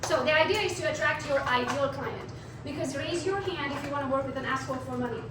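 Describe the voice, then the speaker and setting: High-voiced Romanian-born woman trainer. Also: fast talker, background noise. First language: not English. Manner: energetic, asks the audience questions. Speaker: real estate sales trainer. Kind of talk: live training talk to a room. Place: meeting room with a live audience. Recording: echoey room, speaker far from mic.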